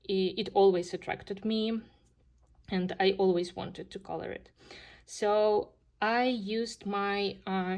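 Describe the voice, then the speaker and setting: A woman with a Ukrainian accent talks slowly at a mid pitch, pausing often with fillers. She sounds gently enthusiastic as she shows her finished pages in a casual vlog from home. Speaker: coloring channel host. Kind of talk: casual show-and-tell vlog.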